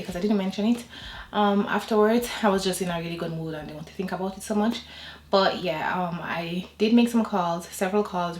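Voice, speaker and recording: A woman's voice: lowish voice, roomy sound, a little hiss or hum. A woman vlogger chatting casually and rambling to her viewers from home. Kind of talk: casual personal vlog chat.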